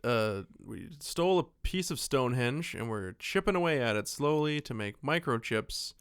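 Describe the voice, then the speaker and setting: A man's voice: medium pitch, expressive, normal speed, a bit bright, clear, resonant tone, no echo, studio quality. A man co-hosting a podcast, giving a casual, joking film recap in a rambling, loose-tongued way. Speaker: podcast co-host.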